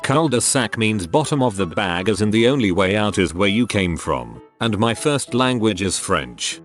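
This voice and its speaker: A man with a lowish voice reads first-person online posts aloud in a steady narrating manner. His pitch moves around a lot, keeping the reading animated. Faint music plays quietly behind him. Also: normal speed.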